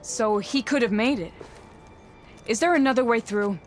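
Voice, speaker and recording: A woman's voice: fairly high pitch, normal speed, soft background music, close to an everyday voice. An actress playing a determined young woman hunter in a video game, speaking earnestly. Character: proud young woman hunter, worried for a friend.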